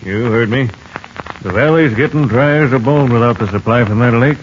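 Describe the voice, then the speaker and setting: A man's voice: medium pitch, normal speed, natural voice. An American man playing a plain-spoken, worried sheriff in a 1950s Western radio drama. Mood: concerned. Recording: dull 1950s radio recording, faint noise.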